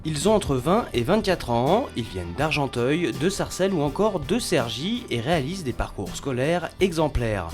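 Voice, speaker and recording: A man narrating a regional news report in a measured, formal delivery. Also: on the high side, big pitch swings, faint music behind.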